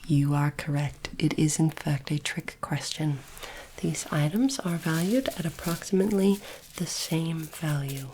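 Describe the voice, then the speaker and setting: A woman doing a soft-spoken, whispered ASMR roleplay as a polite, formal alien interviewer, with deliberate phrasing. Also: low-pitched, a little hiss or hum, poor audio.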